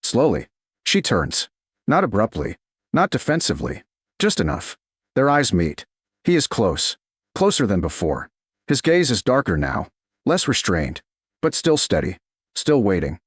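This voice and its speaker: A man narrating scripted romance fiction slowly and dramatically, in measured, deliberate phrases. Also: lowish voice, huge pitch swings, husky, no echo.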